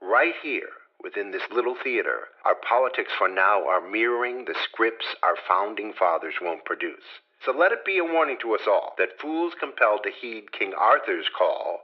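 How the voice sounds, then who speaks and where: A low, conspiratorial verse narrator. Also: male voice. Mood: fervent, warning. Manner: theatrical, urgent, rhetorical. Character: conspiratorial male narrator warning the audience. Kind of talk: dramatic verse monologue. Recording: dull, noisy radio-like recording.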